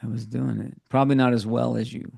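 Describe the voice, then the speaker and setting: A man's voice: medium pitch, level tone, fast talker, vocal fry, a little mellow, breathy edge. A middle-aged man hosting a podcast, interviewing casually with playful teasing and short questions. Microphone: video call.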